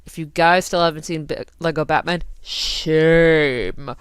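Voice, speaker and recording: A woman's voice: low-pitched, little pitch movement, a little fast, clear, resonant tone, no echo, clean studio sound. A woman hosting a let's play, giving casual, rambling and self-deprecating gaming commentary. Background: game audio with loud music.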